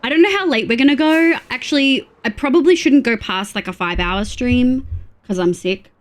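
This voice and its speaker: A woman streamer from Australia chatting casually during a game livestream, congested with a stuffy nose, excitable and full of fillers. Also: sits high, moderate pace. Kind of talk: casual livestream chat while playing.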